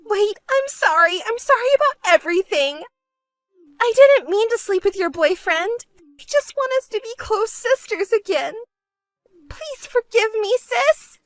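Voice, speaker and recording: A woman's voice: extremely high pitch, expressive, measured pace, dry recording, faint music behind, voice pushed up. A woman voicing a spoiled, selfish younger sister in a dramatized story, whiny, melodramatic and pleading.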